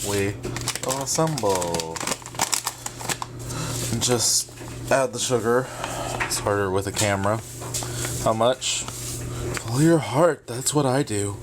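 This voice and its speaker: A man's voice: on the low side, lively intonation, slow pace, long pauses. A man from the American South chatting casually and thinking aloud as he vlogs in his kitchen. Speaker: vlogger and host. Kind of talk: casual morning vlog chat while doing kitchen tasks. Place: home kitchen, indoors. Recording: noisy kitchen with loud appliance hum. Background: running dryer, clattering dishes.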